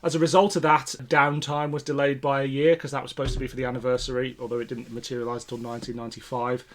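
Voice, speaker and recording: A man's voice: medium pitch, flat delivery, quick pace, a little hiss or hum. British man, casual podcast co-host. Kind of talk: casual chat about classic television sci-fi.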